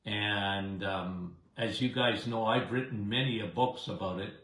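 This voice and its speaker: A middle-aged man with a big voice and a slight Canadian accent talks at an unhurried pace, rambling and chatty, often checking in with his listeners. The recording has a roomy echo around him. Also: lowish voice, fairly bright tone. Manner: chatty, rambling, says "okay?" and "guys" often. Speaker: health show host. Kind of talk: casual live health talk.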